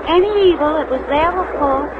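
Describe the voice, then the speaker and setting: A woman's voice: high-pitched, moderate pace, lightly acted. An actress playing an innocent, trusting and cheerful young minister's daughter, girlish and theatrical, in old-time radio style. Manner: girlish, bright-spirited, theatrical. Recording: muffled, very noisy old radio recording.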